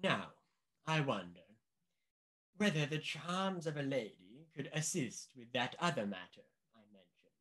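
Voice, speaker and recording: An actor playing a pompous, condescending British government official in a radio play, speaking formally and emphatically. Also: male voice, mid-range voice, sing-song, measured pace, a bit bright. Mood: patronizing, fussy.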